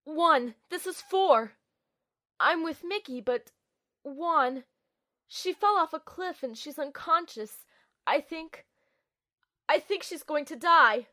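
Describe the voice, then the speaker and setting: A woman's voice: sits high, lively intonation, slow pace, long pauses, sharp tone, heavily acted. A woman playing an earnest, anxious young field operative, acting and narrating in the first person in an audio drama. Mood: worried. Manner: earnest, urgent.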